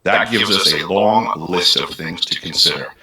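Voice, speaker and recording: A man's voice: deep voice, moderate pace, background noise. A man, a guest on the show, presenting research claims in an earnest, methodical way and listing points one after another.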